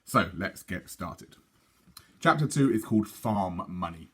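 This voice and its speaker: A man reading a children's storybook aloud in an expressive narrating style. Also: deep voice, unhurried, husky.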